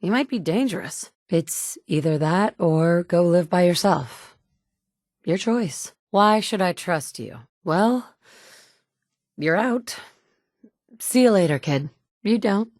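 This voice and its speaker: Blunt sardonic wasteland mom, lowish voice, dry studio sound, clipped lines. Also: female voice, lively intonation, takes it slowly, pauses often, a little mellow, no room sound. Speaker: player character. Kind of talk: video game dialogue lines. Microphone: studio mic.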